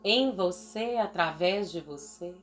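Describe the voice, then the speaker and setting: A woman with a Brazilian Portuguese accent leading a guided meditation in a soothing, deliberate manner, leaving long pauses between phrases. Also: lowish voice, faint music behind.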